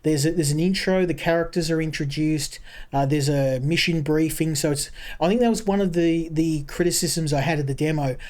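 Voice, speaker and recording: A man's voice: on the high side, fairly quick, darkish tone. An Australian man with a broad accent giving casual gameplay commentary as a streamer, rambling and repeating words while he thinks aloud. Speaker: game streamer.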